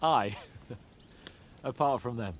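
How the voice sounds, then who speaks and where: A man's voice: mid-range voice, slow pace, a little hiss or hum, lightly acted. An actor playing a cocky, teasing young nobleman in a game cutscene, joking and boastful with his friend. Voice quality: playful, mocking.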